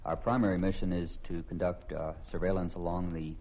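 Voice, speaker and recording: Male American colonel, middle-aged, deep voice, formal interview answers, dull recording with faint noise. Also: level tone, fairly quick. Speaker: army colonel, commanding officer. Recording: dull, noisy old field recording.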